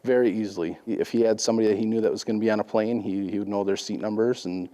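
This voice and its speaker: Man, interviewee, somewhat low voice, unscripted conversational answers. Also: steady tone, quick pace, dark tone. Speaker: interviewee, whistleblower.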